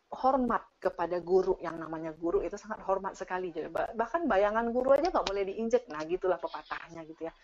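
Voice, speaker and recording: A woman giving casual, animated explanations as she answers audience questions on an online call. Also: mid-range voice. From Indonesia. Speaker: teacher and speaker sharing her experience. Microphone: online video call. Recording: dull, lo-fi online meeting audio.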